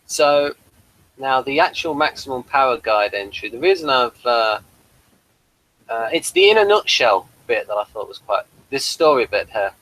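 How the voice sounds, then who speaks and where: A man hosting a casual review show, explaining things in a halting, conversational way. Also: medium pitch, very animated, fairly bright tone, low-quality recording.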